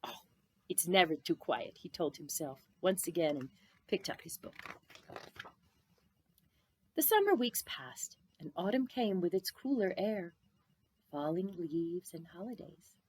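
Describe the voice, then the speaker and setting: Animated woman reading a storybook aloud. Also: lowish voice, breathy edge, no room sound. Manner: dramatic, with drawn-out words and hushed whispered phrases. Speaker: storybook reader. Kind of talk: expressive children's picture book read-aloud.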